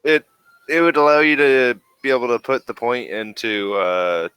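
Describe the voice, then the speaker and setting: A man narrating a tabletop role-playing game as game master in a casual, halting way, thinking aloud as he goes. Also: medium pitch, expressive, a bit bright, clear, resonant tone, dry recording, low-quality recording. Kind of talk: casual, improvised game narration and rules talk.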